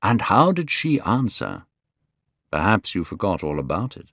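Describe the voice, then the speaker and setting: A man voicing a worldly, teasing gentleman in a storybook reading, slow and musical in his delivery. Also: deep voice, sing-song, breathy edge, dry recording, clean studio sound, exaggerated voice. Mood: languid, teasing. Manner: slow, drawling, cynical.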